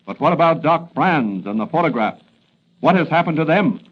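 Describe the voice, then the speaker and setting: A man's voice: a little high, lively intonation, moderate pace, husky. An actor as a dramatic male announcer narrating an old-time radio serial. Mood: suspenseful. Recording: dull lo-fi vintage radio recording.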